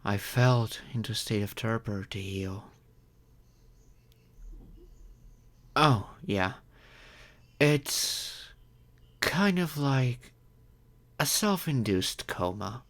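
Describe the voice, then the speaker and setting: A man playing a wealthy, grateful vampire in an ASMR audio roleplay, speaking in a gentle, courteous and measured way. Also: mid-range voice, animated. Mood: solemn, grateful. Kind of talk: slow intimate ASMR roleplay monologue.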